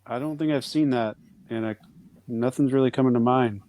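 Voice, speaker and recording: A man's voice: medium pitch, a little fast, dark tone, no echo. A man chatting on a podcast panel, speaking hesitantly and reflectively. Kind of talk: casual chat.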